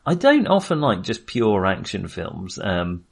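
Guy chatting about films on a podcast, really animated pitch, kinda hesitant and apologetic. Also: a little slow, vocal fry. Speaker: film podcast co-host. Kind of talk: casual film discussion.

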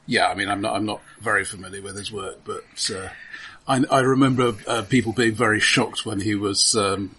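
A man co-hosting a casual film discussion, halting and repeating words as he builds his point. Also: fairly low pitch, fairly even intonation, bright, forceful tone. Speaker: film podcast co-host.

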